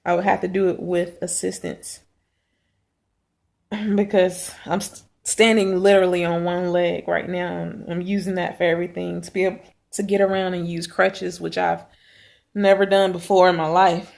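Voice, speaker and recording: A woman with a raspy, hoarse voice and a sore throat talks at home in a casual video diary. She pauses often to clear her throat. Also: on the low side, level tone, darkish tone. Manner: halting, frequent throat clearing. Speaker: vlogger.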